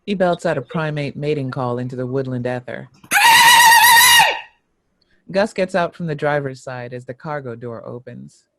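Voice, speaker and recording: A man's voice: mid-range voice, normal speed, pauses often, raised voice, a little hiss or hum. A man giving scripted narration, reading a screenplay's stage directions.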